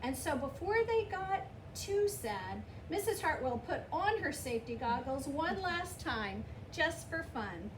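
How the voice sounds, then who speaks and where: A woman's voice: high voice, sing-song, unhurried, hardly any pauses. A woman reading a children's picture book aloud, giving the dialogue expression. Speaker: storybook reader. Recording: roomy, distant mic, clear background noise.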